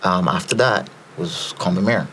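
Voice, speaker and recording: A man's voice: low voice, measured pace, a bit bright, slight background noise. A Barbadian man, a podcast guest, telling stories in a rambling casual way, full of fillers and repeated starts. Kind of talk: casual storytelling chat.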